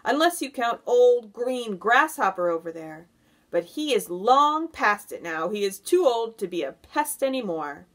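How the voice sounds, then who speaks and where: A woman's voice: fairly high pitch, big pitch swings, measured pace, fairly bright tone, voice pushed up. A woman voicing a boastful, gleeful male centipede in a children's storybook, crowing and teasing as he talks.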